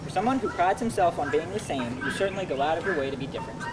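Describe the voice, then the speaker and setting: A woman playing an easygoing, loyal young male helper in a homeschool dramatic reading, earnest and gently teasing. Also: fairly low pitch, animated, very fast, close to an everyday voice. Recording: loud background noise.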